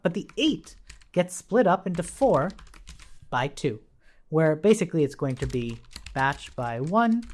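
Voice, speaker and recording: A man instructing in an informal, think-aloud way. His pitch moves up and down a lot as he explains each step, and he often tags his statements with a checking question. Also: a little mellow.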